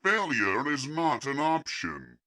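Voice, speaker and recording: Alien machine captor , acted by a man: cold and commanding, slow, bright forceful edge, lofty villain for an audio comic. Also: mid-range voice, very animated, voice pushed up, heavily acted. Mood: menacing, insistent. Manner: measured, pompous, commanding.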